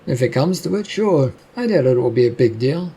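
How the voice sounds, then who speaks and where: A narrator plays a cheeky audiobook daredevil, a man with a mellow, husky voice. He sounds reckless and unbothered, talking casually as if danger were no big deal. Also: mid-range voice, animated, fairly quick. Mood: breezy, unfazed.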